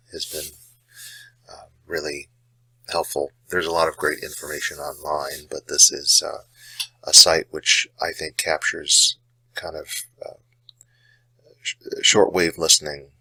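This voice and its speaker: A man giving a casual, unscripted explanation as a hobbyist host, halting and hesitant, with frequent pauses and a gravelly voice. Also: rumbling low, level tone, bright, forceful tone, no echo.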